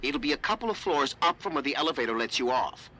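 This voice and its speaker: A fussy, dry tech expert in a video game. The tone is sharp and bright, with a lively melody and precise phrasing. Faint noise sits behind it. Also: male voice, fairly high pitch, fast talker, low-quality recording. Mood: dry briefing.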